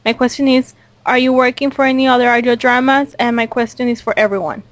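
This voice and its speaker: A woman with a high voice hosts a relaxed group interview. She reads questions out and chats informally, with a giggly manner. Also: fast talker. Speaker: host of a cast interview for a fan audio drama. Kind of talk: casual group chat, reading out listener questions.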